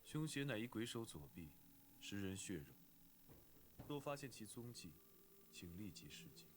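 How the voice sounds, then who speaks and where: A dignified noble cultivator in an animated fantasy drama. The voice is fairly low and grave, with formal, measured and terse phrasing. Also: male voice, background noise. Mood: grave, calm.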